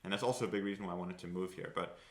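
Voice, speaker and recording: A man's voice: low-pitched, steady tone, racing, echoey room. A young man from South Africa, speaking with a light international accent that has faint South African traces, chatting casually on a podcast and rambling with many fillers. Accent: light international English with faint South African traces. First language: Afrikaans. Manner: rambling, full of "like" and restarts.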